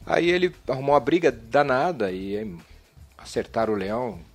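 A man speaking as a guest football coach, telling old match stories in a blunt and casual way. His speech is full of slang and plain talk, at an ordinary pitch. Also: expressive, moderate pace, faint music behind. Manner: blunt storytelling with frequent slang. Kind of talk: casual chat.

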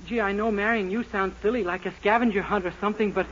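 An American man playing an earnest, naive, excitable young man in a 1940s radio sitcom, breathless and pleading. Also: sits high, quick pace, natural voice. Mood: flustered, earnest. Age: young adult. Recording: noisy muffled 1940s radio recording.

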